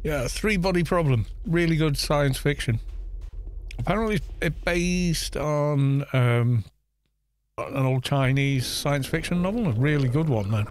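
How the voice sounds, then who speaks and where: A man's voice: a little high, expressive, unhurried. A man streaming a game, chatting casually in a rambling, self-deprecating way on a close desk mic.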